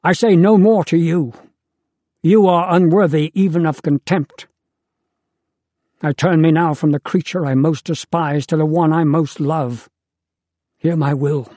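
A man's voice: fairly high pitch, steady tone, a little slow, pauses often, mellow, no echo. A man voicing a proud, defiant male angel, speaking in a lofty, contemptuous, declamatory way. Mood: defiant, scornful. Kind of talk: audiobook character reading.

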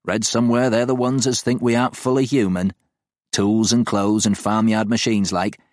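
A man's voice: on the low side, flat delivery, moderate pace, a little mellow, no echo, clean studio sound, pitched above the performer's own voice, natural voice. A man voicing a worn middle-aged farmer, a know-it-all who speaks emphatic rustic dialect.

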